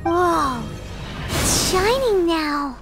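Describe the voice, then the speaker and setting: A woman voicing a tiny, childlike fairy companion for a video game, squeaky, girlish and excitable, with an anxious streak. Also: extremely slow, over music, heavily acted. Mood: amazed. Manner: exclaiming, speaks of herself in third person.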